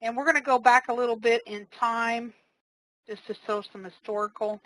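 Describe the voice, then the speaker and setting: Casual woman walking through a software demo. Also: a little high, steady tone, moderate pace, dry recording, low-quality recording. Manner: casual, step-by-step explaining with fillers. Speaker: software trainer. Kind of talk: live software demo walkthrough.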